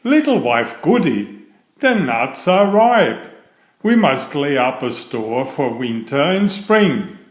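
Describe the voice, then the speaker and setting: A man as a slow-talking, prudent squirrel husband. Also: on the high side, very animated, echoey room. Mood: prudent, cosy.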